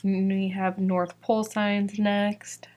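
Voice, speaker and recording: A woman's voice: medium pitch, little pitch movement, slow pace, darkish tone. A young woman from Georgia, chatty and full of "like" and "um," walking through her shop's new sticker releases, her voice slightly worn. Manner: chatty, fillers like "like" and "um". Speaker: sticker shop owner presenting new releases. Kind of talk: casual product walkthrough.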